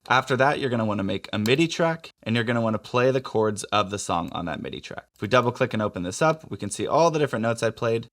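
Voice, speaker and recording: A man's voice: mid-range voice, fairly bright tone. A man narrating a tutorial as host in an informal, enthusiastic way. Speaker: music production tutorial host.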